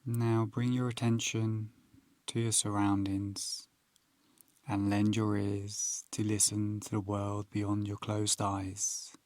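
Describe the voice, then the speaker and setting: A man leading a guided meditation in a calm, unhurried, instructional manner with long pauses. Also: lowish voice, no echo.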